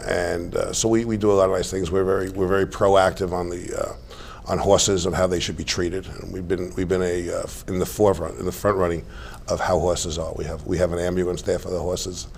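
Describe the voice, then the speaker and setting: A man, a guest telling stories in a relaxed, rambling way with many restarts. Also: low-pitched, brisk, vocal fry, slight background noise. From Staten Island, New York. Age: 60s. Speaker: interview guest. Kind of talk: casual interview chat.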